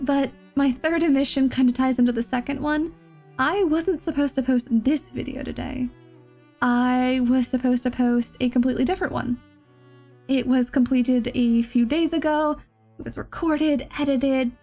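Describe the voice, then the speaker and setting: A woman's voice: high voice, a little mellow. A woman with a nasal, slightly stuffed-up voice from a cold, giving listeners a casual update as a channel host. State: mildly sick with a cold.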